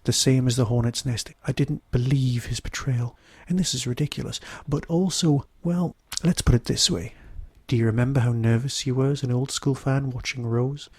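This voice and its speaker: A man hosting a podcast alone, talking to listeners in a reviewing style, his voice hoarse from a throat infection. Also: medium pitch, fairly even intonation, moderate pace, few pauses, a little mellow, dry recording. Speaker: podcast host. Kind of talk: solo review talk to listeners.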